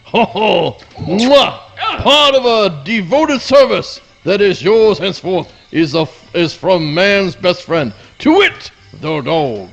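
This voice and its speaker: High pitch, drawn-out animated delivery, florid fawning hound dog, grandiose radio play style. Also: male voice, vocal fry, highs cut off, faint music behind, heavily acted. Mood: overjoyed. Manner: florid, grandiose, repeats himself. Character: melodramatic, fawning, overeager male hound dog. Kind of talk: live radio play acting.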